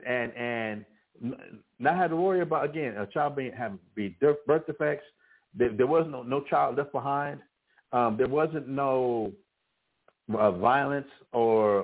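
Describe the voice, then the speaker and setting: Guy teaching a bible class, stops and restarts a lot, sounds like over the phone. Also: mid-range voice. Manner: halting, with many restarts and fillers. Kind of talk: preaching a scripture class. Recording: phone-like narrow band.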